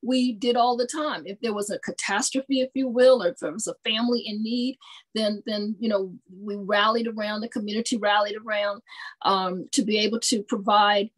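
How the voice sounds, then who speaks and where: A woman's voice: medium pitch, rough recording. A middle-aged woman from the American South, speaking as a panelist on a video call and telling a childhood story with many fillers. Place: home room with bookshelves. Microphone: video call webcam.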